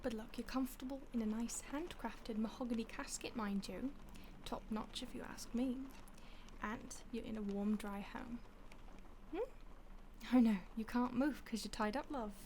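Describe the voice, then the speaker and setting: A woman voicing a polite, predatory female vampire who toys with her captive in soft, often whispered, mock-polite speech. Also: a little high, normal speed, a little hiss or hum, natural voice. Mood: menacing relish. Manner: teasing, mock-polite, savoring. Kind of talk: audio roleplay.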